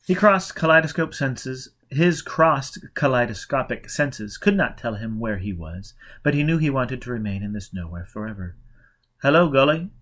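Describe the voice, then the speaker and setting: A man's voice: medium pitch, lively intonation, moderate pace, few pauses, a bit bright. A man narrating a novel in an intense, theatrical storytelling delivery. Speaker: amateur audiobook reader. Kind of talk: dramatic scripted narration of a novel.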